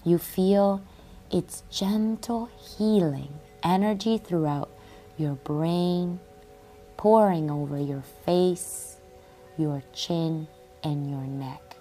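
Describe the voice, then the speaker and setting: A woman leads a guided meditation in a low, mellow voice. She reads very slowly and soothingly, leaving long pauses and letting phrases trail off softly and breathily. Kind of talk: guided relaxation meditation, scripted read-aloud. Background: music under the voice.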